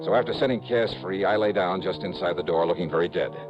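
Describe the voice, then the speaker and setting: A man's voice: sits low, fast, over music, natural voice. An actor playing a cool, hard-boiled male investigator narrating his case in clipped, wry 1950s radio noir style. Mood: tense, wry. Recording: dull vintage radio recording.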